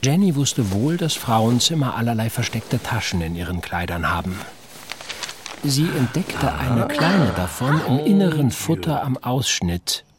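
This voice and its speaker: A man narrating an old-fashioned detective tale in a measured, formal first-person voice. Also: medium pitch, big pitch swings, a crowd in the background. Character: measured male storyteller, scholarly.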